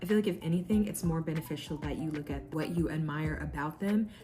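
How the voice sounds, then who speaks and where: A young woman with a low voice shares advice in an earnest, chatty vlog style, with a few fillers along the way. Clear background music plays under her voice. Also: fast talker. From United States. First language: English. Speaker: vlogger.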